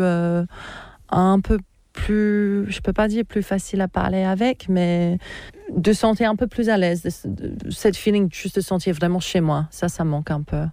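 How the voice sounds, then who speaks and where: A woman from Australia with an Australian English accent, speaking French as a second language in a casual interview, animated, laughing often and hunting for words. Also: fairly low pitch, no echo. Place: Orbe area in the mountains.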